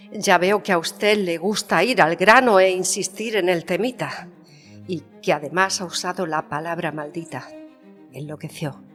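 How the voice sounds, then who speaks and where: Actress doing a proud spanish queen, kinda low, frank and measured, big echo, strings behind. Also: lightly acted. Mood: wounded, guarded. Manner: formal, measured, pointed. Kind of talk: dramatised historical interview. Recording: long hall reverb.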